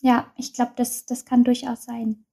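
A young woman with a high-pitched voice talks as an interview guest. She speaks in a casual, open way, full of fillers and restarts. Her voice sits well above the usual range for women. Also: monotone, measured pace, vocal fry, mellow. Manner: open, chatty, many fillers and restarts, laughs often.

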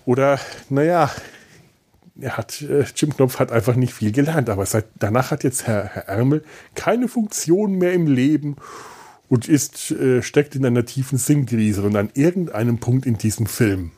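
A man speaks in a mellow, dark voice with a husky grain. He delivers a casual podcast monologue, circling back on his points with wry, overblown repetition. His phrasing is halting, full of doubled words and fresh starts. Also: mid-range voice, expressive. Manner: wry, exaggerated repetition for comic effect; halting, often restarts and repeats words. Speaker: podcast host. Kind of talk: casual spoken film review, loose monologue.

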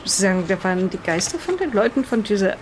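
A woman's voice: medium pitch, big pitch swings, quick pace, background noise, lightly acted. A woman playing an earnest, curious married woman who talks thoughtfully and a little hesitantly in an improvised in-character audio drama. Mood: thoughtful, explaining.

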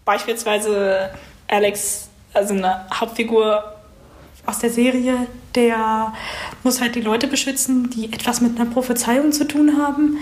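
A woman's voice: a little high, steady tone, a little slow, a little mellow. A woman in her twenties giving casual, chatty answers as a student being interviewed. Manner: chatty, informal.

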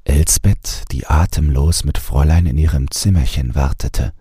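Deep deep male narrator, soft mellow n breathy, slow bedtime story, dry close sound. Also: dry recording. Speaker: audiobook narrator.

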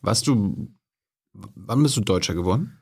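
A male host in a studio asking an interviewee quick questions, often restarting his sentences. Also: on the low side, expressive, gravelly, darkish tone, slightly rough. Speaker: podcast host. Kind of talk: interviewing a guest.

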